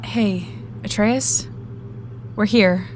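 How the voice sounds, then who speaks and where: A woman's voice: medium pitch, steady tone, very slow, darkish tone, a little hiss or hum. An actress playing a weary, caring young woman trucker in an audiobook drama, speaking in a hesitant, reassuring way. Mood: tentative.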